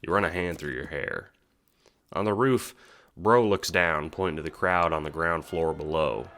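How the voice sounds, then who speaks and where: A man narrates an audio drama in a very deep voice with a bright, forward edge. He addresses the listener as the character, with dry sarcasm and casual swearing. Faint noise sits behind the recording. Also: moderate pace. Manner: sweary, sardonic.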